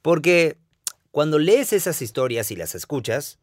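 Lively-voiced man in dry close sound. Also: fairly high pitch, unhurried, no room sound. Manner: animated, self-deprecating, conversational. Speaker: podcast co-host. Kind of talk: casual conversational podcast.

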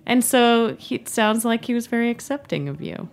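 A woman's voice: on the high side, lively intonation, normal speed, creaky. A woman hosting an interview podcast, encouraging her guest with short replies and laughter. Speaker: podcast host. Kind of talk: casual interview conversation.

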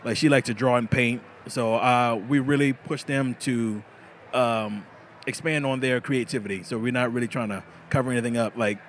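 A man's voice: medium pitch, fairly even intonation, moderate pace, a little hiss or hum. A man, a bladesmith chatting casually in an interview at an outdoor festival, laughing often and repeating words as he starts sentences. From South Carolina. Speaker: bladesmith guest.

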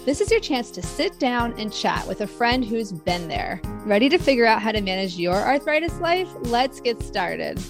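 A woman in her early forties hosts a podcast in a fairly high voice. Her delivery is enthusiastic and upbeat. Faint music can be heard with it. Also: sing-song, moderate pace, few pauses. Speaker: podcast host. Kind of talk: upbeat podcast introduction and hosting.

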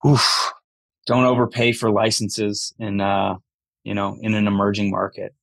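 A man's voice: on the low side, little pitch movement, unhurried, creaky, a bit bright, no room sound, low-quality recording. A man giving candid, conversational answers as a podcast guest, talking bluntly and swearing casually. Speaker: podcast guest, cannabis entrepreneur.

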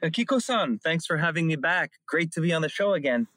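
A middle-aged man from Japan speaking as a radio show guest, relaxed, with many ums and uhs. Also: a little high, a little fast, no room sound, clean studio sound. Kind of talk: interview conversation.

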